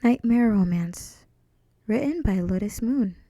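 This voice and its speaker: A woman's voice: mid-range voice, expressive, measured pace, mellow, dry recording. Woman narrating a story, dry sound. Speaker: fan fiction author and narrator.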